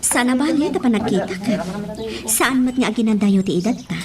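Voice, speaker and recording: A woman acting as a friendly young villager in a Filipino radio drama. Her highish voice swings expressively, with a Filipino accent, slightly away from the mic over music. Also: lively intonation, a little fast, crowd noise, natural voice. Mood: playful, eager. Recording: distant mic, music bed.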